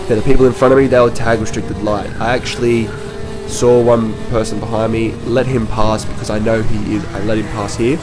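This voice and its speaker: A man with a lowish, slightly hoarse voice gives a casual, rambling voice-over on his own kart race. Music plays behind him. He drifts along with frequent ums and self-corrections. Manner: rambling, with many ums and restarts. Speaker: kart racer narrating his race footage.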